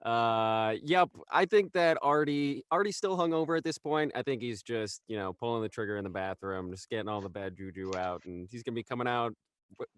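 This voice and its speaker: A man's voice: mid-range voice, brisk, clean tone, no echo. A man chatting and joking casually as an actor guest on a cast panel.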